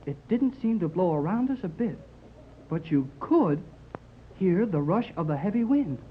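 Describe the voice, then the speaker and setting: An American man acting an eager, polite, plucky boy aboard ship in a 1930s radio serial, earnest and excitable. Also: high-pitched, normal speed. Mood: earnest, keen. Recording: muffled, noisy 1930s radio recording.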